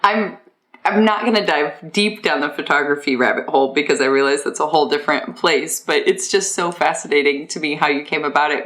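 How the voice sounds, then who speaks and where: A woman's voice: deep voice, fairly bright tone. A woman hosting a podcast, chatting casually and informally with her guest. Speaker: podcast host. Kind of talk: casual interview chat.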